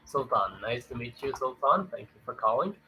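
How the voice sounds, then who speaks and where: A man's voice: medium pitch, lively intonation, moderate pace, pushed and bright, a little hiss or hum, poor audio. A young man, born in Thailand and raised in Canada, chatting as an English tutor on a video call, friendly and hesitant with many fillers. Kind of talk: casual video-call chat. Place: home in a big city.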